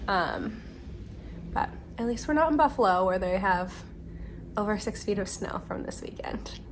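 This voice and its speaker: A woman's voice: medium pitch, lively intonation, normal speed, background noise. A woman in her twenties hosting a podcast in a casual, rambling way, a bit congested from a cold, with many ums and self-corrections. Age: 20s. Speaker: podcast host. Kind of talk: casual chat. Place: home during a windy day.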